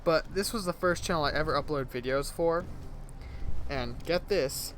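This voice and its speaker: A man's voice: fairly high pitch, moderate pace, a bit bright, slight background noise. Chatty twenty-year-old guy rambling. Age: 20s. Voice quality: self-described childish-sounding voice. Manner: rambling, self-correcting, chatty. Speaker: YouTuber. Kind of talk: casual rambling vlog chat.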